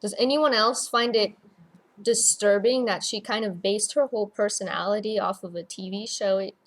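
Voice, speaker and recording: A woman with a medium-pitched voice takes part in a podcast panel. She speaks conversationally, offering her views as one fan among others. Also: fairly even intonation, normal speed, rough recording. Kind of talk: casual fan discussion.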